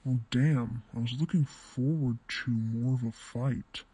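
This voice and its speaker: A man acts out a gruff masked vigilante from a superhero audiobook. The voice is rough-edged and mellow, recorded very dry. Lines come out blunt, sardonic and unhurried. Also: medium pitch, no room sound. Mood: disappointed. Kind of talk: acted dialogue in fan fiction audiobook.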